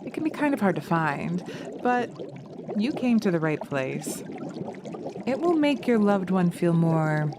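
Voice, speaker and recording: A woman voicing a sharp, practical witch in a roleplay audio drama, teasing and theatrical with a hint of menace. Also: lowish voice, big pitch swings, background noise. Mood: mischievous, spooky. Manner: theatrical, teasing, drawn-out words with pet names.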